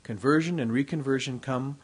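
A man delivering a formal, prepared devotional address at a university, reading from his text in a measured way. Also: medium pitch, husky, low-quality recording.

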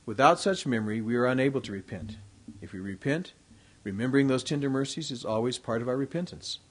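A man gives a formal devotional address in a measured, unhurried way, reading his prepared text. His voice sits in an ordinary middle pitch. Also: lively intonation, breathy edge. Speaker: university devotional speaker.

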